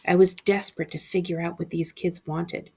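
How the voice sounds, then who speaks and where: A woman librarian reading a spooky story aloud with expressive narration. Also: on the low side, normal speed, husky.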